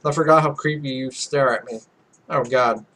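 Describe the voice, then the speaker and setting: A man's voice: mid-range voice, fairly even intonation, normal speed, pushed and bright. A man hosting a let's play, casually reading visual novel text aloud and commenting, often stumbling over the words.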